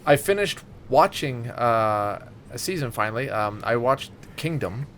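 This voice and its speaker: A man's voice: mid-range voice, lively intonation, measured pace, fairly bright tone, slight background noise. A man chatting casually as a podcast co-host, rambling and enthusiastic, with plenty of swearing. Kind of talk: casual chat with friends.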